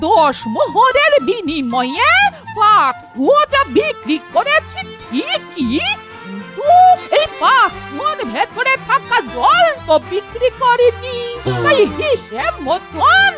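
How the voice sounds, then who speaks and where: A woman plays a cunning old cartoon crone who squeals and scolds, her voice very high and swooping up and down. She speaks slowly and stretches her words. Music plays loudly beneath her throughout. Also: very animated, full character voice. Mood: pleading. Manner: shrill, scolding, wheedling. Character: scheming old woman, elder sister. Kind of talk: cartoon voice acting. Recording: music under voice.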